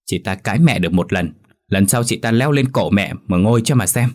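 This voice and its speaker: A man's voice: lowish voice, lively intonation, a little fast, close to an everyday voice. A man doing a whiny spiteful stepsister. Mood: scheming. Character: spiteful young woman, tattling stepsister.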